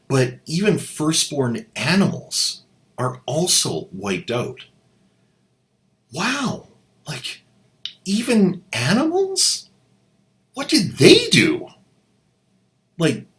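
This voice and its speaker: A man's voice: medium pitch, a bit bright. A man hosting a commentary video who mocks the drama clips he plays with a sarcastic, incredulous delivery. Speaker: host, commentator.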